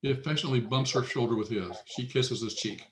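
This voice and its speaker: A man's voice: medium pitch, fast, a little hiss or hum. A man narrating scripted stage directions aloud at a screenplay table read.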